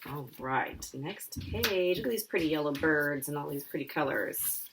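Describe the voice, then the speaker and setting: A woman's voice: deep voice, expressive, normal speed, noisy background. An American woman, a children's author, chatting informally and reading her picture book aloud for young listeners. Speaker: children's author and school counselor.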